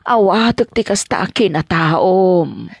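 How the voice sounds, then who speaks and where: A woman's voice: on the low side, expressive, takes it slowly, vocal fry, slightly rough, no echo, exaggerated voice. A woman playing a long-suffering, loving mother with a plaintive, dramatic manner in an Ilocano radio comedy drama. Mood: tearful acceptance.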